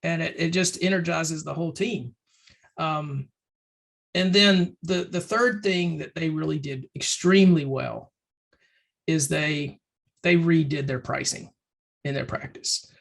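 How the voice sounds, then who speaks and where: A man presenting in a casual webinar, loose and conversational with many ums and restarts, his voice slightly hoarse. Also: on the high side, fairly even intonation, measured pace, fairly bright tone. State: slightly hoarse, clearing throat. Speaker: webinar presenter for a firm advisory program.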